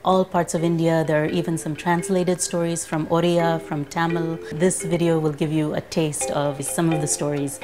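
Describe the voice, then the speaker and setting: A woman's voice: low voice, level tone, normal speed, hardly any pauses. A woman presenting a book in a short promotional introduction. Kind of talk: promotional introduction to camera or voice-over. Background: music.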